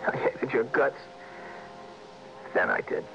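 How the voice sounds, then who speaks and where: An actor playing a dying American safecracker in his early thirties in a radio drama, speaking in a halting, weary, uneducated tough-guy way. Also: mid-range voice, huge pitch swings, gravelly, muffled recording, noisy background, full character voice. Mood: grateful, halting. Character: dying early-thirties convict safecracker, bitter but grateful. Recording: band-limited vintage radio recording with music.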